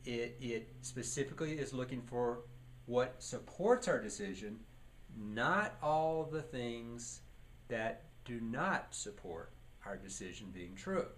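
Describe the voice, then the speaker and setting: A man's voice: mid-range voice, lively intonation, slow pace. A man giving a leadership training lecture, teaching by posing questions and answering them, with emphasis on key words. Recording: clearly noisy recording.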